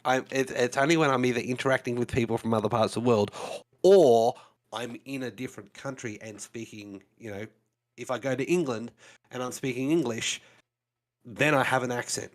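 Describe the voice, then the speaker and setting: An Australian man co-hosting a relaxed chat, with a voice sitting right in the middle of the male range. He talks in a loose, explaining way and keeps checking in with "you know". The delivery is conversational and unscripted. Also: mid-range voice, fairly quick. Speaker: podcast co-host. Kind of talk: casual chat.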